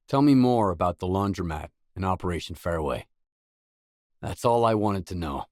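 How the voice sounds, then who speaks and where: Gritty stalker game hero, deep dry close voice, blunt and serious, no nonsense. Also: male voice, darkish tone, no room sound. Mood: businesslike. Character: determined, blunt male stalker adventurer in a grim zone. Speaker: game protagonist. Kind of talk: video game dialogue voice lines.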